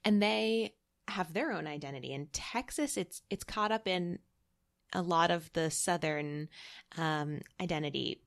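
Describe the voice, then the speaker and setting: A woman's voice: fairly low pitch, a little slow, vocal fry. A woman from Massachusetts, a guest in a casual interview, talking chattily and often laughing. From Massachusetts, USA.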